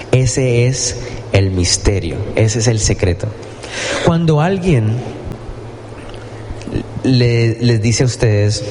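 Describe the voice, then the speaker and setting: A man's voice: fairly low pitch, mellow, a little hiss or hum. A man preaching a sermon in a church, talking conversationally and asking his listeners questions. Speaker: pastor.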